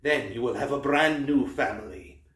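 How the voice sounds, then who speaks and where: A man's voice: medium pitch, normal speed, fairly bright tone, natural voice. A man voicing a menacing monster man posing as a stern teacher, with cold, clipped, formal commands. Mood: gloating. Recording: roomy, from a distance.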